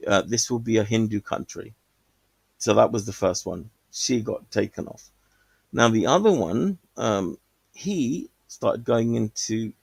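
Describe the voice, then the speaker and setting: Gravelly-voiced man rambling on a call. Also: fairly low pitch, a little mellow, dry recording. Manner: rambling, hesitant, with many fillers and restarts. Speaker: caller. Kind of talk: casual call-in chat.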